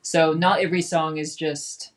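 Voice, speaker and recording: A band guitarist answers questions in loose, casual chat. The talk is chatty and full of "like," with frequent laughter. The voice sits at a middle pitch. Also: flat delivery, moderate pace. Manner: chatty, laughs often, uses "like".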